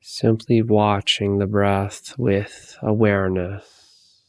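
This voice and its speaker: A man leading a guided meditation, giving calm, soothing, unhurried instructions with long pauses. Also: fairly low pitch, smooth, no room sound.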